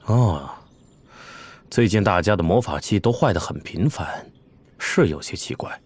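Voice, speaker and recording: Earnest village father, expressive delivery. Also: sits low, a little slow, a little hiss or hum. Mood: concerned.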